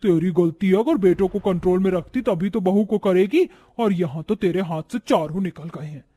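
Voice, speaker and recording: A man puts on a meddling village neighbour woman for a family story. Her high voice tattles with theatrical scandal. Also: moderate pace, dark tone. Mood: blaming.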